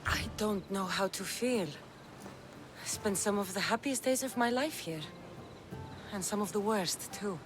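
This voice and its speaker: A woman's voice: medium pitch, a little slow, long pauses, music in the background, lightly acted. A woman acting a tough, guarded young Greek warrior in a video game, blunt and defiant in manner.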